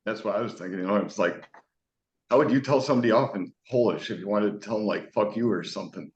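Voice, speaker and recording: A man's voice: lowish voice, fast. Profane loose-talking male interview host. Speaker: podcast host. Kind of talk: casual interview chat. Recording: roomy, dull lo-fi audio.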